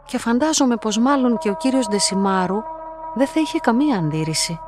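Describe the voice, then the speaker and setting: An actress playing a quiet, lonely young woman who tells her own story in a radio play, in a calm, measured, reflective way. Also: fairly high pitch, music in the background, close to an everyday voice. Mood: composed, content. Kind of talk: radio play monologue, literary first-person narration.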